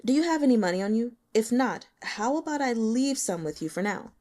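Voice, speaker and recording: Woman reading as a gentle, polite man. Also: on the high side, animated, a little fast, lightly acted. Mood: gentle concern. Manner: soft-spoken, polite. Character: gentle, considerate, well-meaning man. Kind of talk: audiobook character acting.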